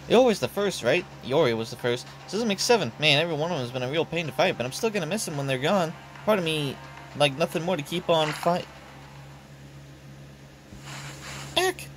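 A man's voice: on the high side, a little hiss or hum, lightly acted. A man voicing a brash young swordswoman. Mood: fired up. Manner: brash, boastful. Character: cocky young woman swordswoman painter.

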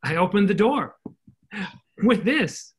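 An actor playing an eager, modest army captain home from war in an earnest, exclamatory stage comedy style. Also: male voice, high-pitched, big pitch swings, takes it slowly, husky, exaggerated voice. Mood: polite, surprised. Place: indoors at home, video call. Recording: noisy home video-call audio.

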